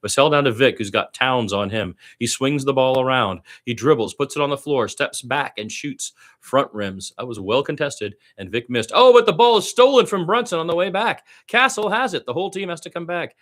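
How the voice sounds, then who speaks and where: A man's voice: medium pitch, expressive, normal speed, few pauses, fairly bright tone. A man doing amateur radio-style basketball play-by-play in short clipped phrases, with opinionated asides as a partisan fan.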